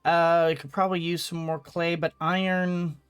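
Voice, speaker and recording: High-pitched man narrating his gameplay. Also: flat delivery, normal speed, clear, resonant tone, lo-fi sound, soft background music. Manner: rambling, thinking aloud. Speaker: gaming video host. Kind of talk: casual let's-play commentary.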